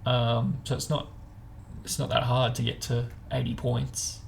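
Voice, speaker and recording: A man's voice: mid-range voice, monotone, measured pace, creaky, slight background noise. A man chatting casually as host of a book discussion, talking in an informal, meandering way as he explains and speculates.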